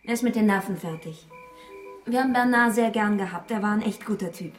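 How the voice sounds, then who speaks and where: A woman's voice: mid-range voice, a little fast, faint music behind, natural voice. An actress playing a worried, conciliatory farmer's wife and mother in a radio crime drama. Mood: weary, conciliatory.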